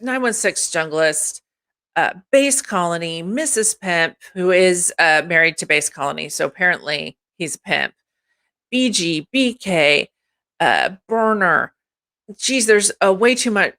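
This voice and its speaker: A woman hosting a casual livestream with a deep, husky voice, congested from allergies, chatty and casually swearing while she thanks viewers by name. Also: bright, forceful tone. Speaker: streamer host.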